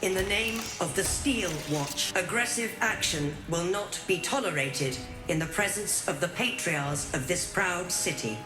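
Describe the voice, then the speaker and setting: An actress playing a stern, officious mechanical city guard in a video game, formal and rigidly commanding. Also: female voice, fairly low pitch, unhurried, hardly any pauses, close to an everyday voice. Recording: roomy echo with music.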